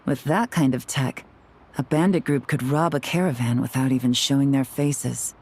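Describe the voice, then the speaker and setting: A worldly, appraising woman, low in pitch with a husky edge. She is cool and considered, in the manner of video game dialogue. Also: normal speed, a little mellow, full character voice.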